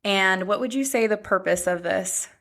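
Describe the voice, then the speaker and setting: A woman in her thirties hosting a podcast, chatting in a reflective, halting way. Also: mid-range voice, a bit bright. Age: 30s. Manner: reflective, speaks in short halting phrases. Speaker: podcast host. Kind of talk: casual chat.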